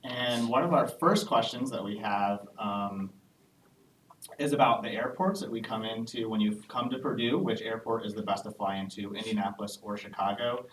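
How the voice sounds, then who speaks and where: A man working as an admissions officer who hosts a live Q&A panel and explains things in a friendly way, with frequent "um" pauses. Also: fairly low pitch, fairly bright tone. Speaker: assistant director of international undergraduate admissions. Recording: echoey room, distant mic, faint background noise.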